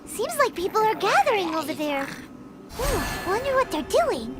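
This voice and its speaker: A woman voicing a small chirpy fairy companion girl in a video game, squeaky and childlike, excitable, speaking of herself by name. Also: normal speed. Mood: excited, curious. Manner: refers to self by name, excitable. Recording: loud game music behind.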